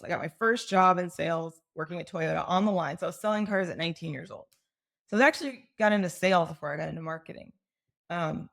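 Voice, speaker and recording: A woman's voice: on the low side, gravelly. An American woman in her forties from the Midwest, chatting casually and self-deprecatingly as a podcast guest. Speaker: podcast guest, marketing professional. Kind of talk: casual storytelling in an interview.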